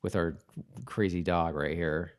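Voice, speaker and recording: A man's voice: low voice, steady tone, measured pace, smooth. Casual male podcast co-host. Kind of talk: casual chat.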